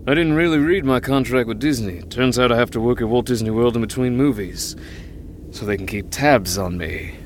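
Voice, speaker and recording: An actor playing a bored, deadpan film star stuck working as a bellhop in a comedic audio drama. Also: male voice, mid-range voice, normal speed, a little hiss or hum, natural voice. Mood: bored, flat. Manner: deadpan, indifferent.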